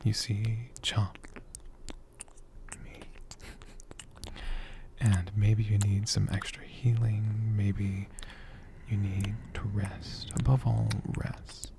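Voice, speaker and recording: A performer voicing a gentle, affectionate dragon healer in a soft, breathy ASMR whisper, slow and soothing with tender, lingering pauses. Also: mid-range voice, little pitch movement, background noise. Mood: gentle, reassuring. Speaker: ASMR creator.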